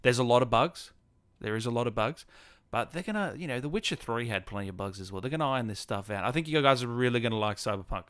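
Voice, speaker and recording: A man's voice: medium pitch, lively intonation, fast, fairly bright tone, dry recording. A man with an Australian accent chatting casually on a gaming livestream, informally addressing viewers like mates. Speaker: gaming streamer and host. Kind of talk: casual livestream chat, answering viewers' comments.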